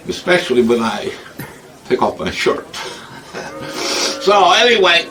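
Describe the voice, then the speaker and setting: A man's voice: on the high side, a bit bright, recorded from a distance, full character voice, poor audio. A man performing a comic character named Igor in put-on broken English, rambling and laughing a lot. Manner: broken grammar, frequent laughing. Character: foreign male hunchback-type comic Igor, boastful. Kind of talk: comic monologue.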